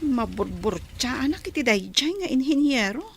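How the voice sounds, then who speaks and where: A woman's voice: high-pitched, sing-song, moderate pace, slightly rough, exaggerated voice. A young woman playing an earnest, respectful village girl in a radio drama, in a plaintive, polite manner. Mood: flustered. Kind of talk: Ilocano radio drama acting.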